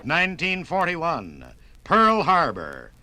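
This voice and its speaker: A man's voice: fairly high pitch, huge pitch swings, slow pace, loud music behind the voice. An American man narrating a 1950s radio documentary in a dramatic, emphatic style with clipped phrases. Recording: 1950s radio production from vinyl record.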